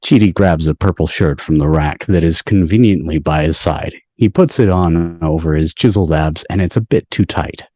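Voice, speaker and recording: A man's voice: very deep voice, moderate pace, hardly any pauses, a little mellow, dry recording, clean studio sound. A man reading stage directions as the narrator of a scripted table read. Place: video call from indoors.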